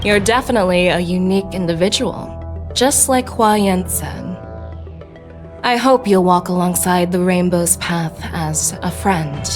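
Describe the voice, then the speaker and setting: A woman's voice: lowish voice, measured pace, music in the background, natural voice. An actress playing a thoughtful, friendly woman in a video game, earnest in manner.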